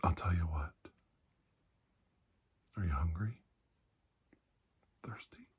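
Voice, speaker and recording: A man speaking in a soft, breathy ASMR roleplay as a calm, kindly doctor, gentle and reassuring with unhurried pauses. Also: sits low, slight background noise. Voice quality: breathy, hushed. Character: calm, kindly male doctor soothing a frightened patient. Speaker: ASMR performer playing a doctor.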